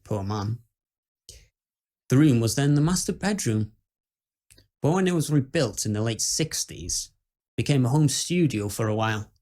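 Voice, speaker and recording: A British man playing a courteous, solemn tour guide in an audio ghost story, explaining things in a measured, dryly ominous way. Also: mid-range voice, lively intonation, mellow, natural voice. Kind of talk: scripted ghost story monologue.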